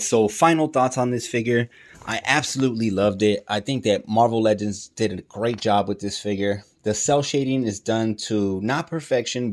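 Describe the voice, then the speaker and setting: Man, lowish voice, casual reviewer, informal, enthusiastic, slangy. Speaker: toy reviewer, host.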